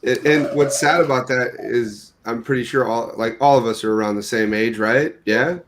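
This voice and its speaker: A man's voice: medium pitch, moderate pace, background noise. A man in his late thirties, a podcast panelist, chatting casually and nostalgically. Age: 30s. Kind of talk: casual chat.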